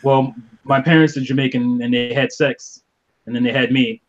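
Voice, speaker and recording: A man's voice: mid-range voice, flat delivery, normal speed. A young American man chatting and joking casually as a podcast co-host, over a dull online call. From United States. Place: small game room at home, indoors.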